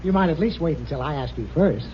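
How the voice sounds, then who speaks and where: Blustery, high-pitched radio boss played by a man. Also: lively intonation, quick pace, close to an everyday voice. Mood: smug. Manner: gruff, pompous, hammy theatrical delivery. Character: blustery, vain older male boss, married twenty-four years. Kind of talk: 1940s radio comedy acting. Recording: dull, hissy vintage radio recording.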